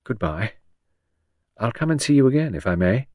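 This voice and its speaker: A man's voice: mid-range voice, big pitch swings, moderate pace, darkish tone, no room sound, studio quality, voice pushed up. A man voicing an earnest, kindly woman in an audiobook, polite and emotional in manner. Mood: polite, gentle. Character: earnest, kindly, grieving woman, friend of the late doctor. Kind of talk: audiobook character dialogue.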